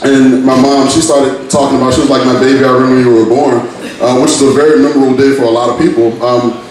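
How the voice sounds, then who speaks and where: A young man from Virginia doing brash, profane stand-up with deadpan asides, on a handheld mic in a small bar. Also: medium pitch. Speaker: stand-up comic. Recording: echoey bar from far off, crowd. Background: bar crowd.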